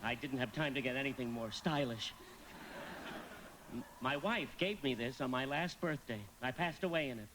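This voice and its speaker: A man's voice: medium pitch, normal speed, background noise, natural voice. A man playing an eager, naive, fussy apprentice guardian angel in a live radio play, speaking earnestly and politely with some hesitation.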